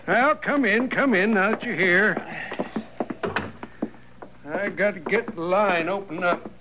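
A man's voice: high-pitched, takes it slowly, dull audio. A man acting in an old-time radio western as a grumbling, fussy telegraph operator with a folksy rural Western American accent. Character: grumpy, fussy small-town telegraph operator in the Old West. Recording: old radio broadcast with faint noise.

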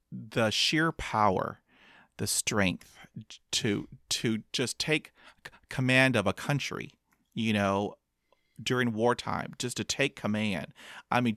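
A man's voice: on the low side, fairly bright tone, no echo, studio quality. A man in his fifties, a guest in an interview, who stutters with repeated sounds and speaks in an upbeat, motivational way. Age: 50s. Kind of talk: interview conversation.

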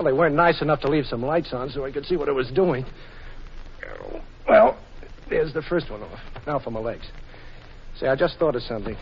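An actor playing a wisecracking, cool-headed adventurer hero in a 1940s radio drama. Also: male voice, mid-range voice, natural voice. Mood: brisk, reassuring. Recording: muffled, hissy 1940s radio recording.

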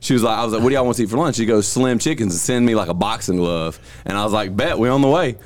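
A man with a low-pitched voice hosts a casual podcast. He jokes loudly and keeps up quick, showy emcee patter. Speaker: podcast host. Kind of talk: casual chat.

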